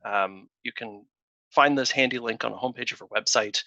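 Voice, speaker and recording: A man hosting a casual webinar over a video call, talking in a halting way with many fillers and restarts. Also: mid-range voice, gravelly, sharp tone, no room sound. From Canada. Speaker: webinar host, consulting firm founder. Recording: dull lo-fi video call audio.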